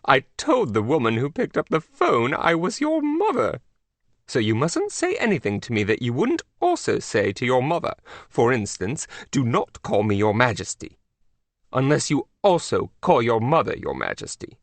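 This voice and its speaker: A man's voice: mid-range voice, huge pitch swings, moderate pace, no echo, pitched above the performer's own voice. A man voicing a regal, chirpy, eccentric Queen of England with a British accent and a grand, formal, self-delighted manner, for a storybook. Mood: formal, playful.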